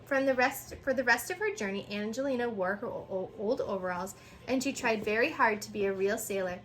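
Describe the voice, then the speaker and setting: Female storyteller, high pitch, animated picture-book read with small stumbles, noticeable background noise. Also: a little fast. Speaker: storyteller reading aloud to children.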